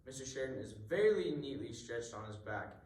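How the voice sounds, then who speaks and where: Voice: mid-range voice, lively intonation, fairly quick, a little mellow, lightly acted. An amateur actor playing a courteous, methodical police inspector in a radio mystery. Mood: calmly accusing. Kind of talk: amateur radio drama acting. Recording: echoey room, distant mic, faint noise.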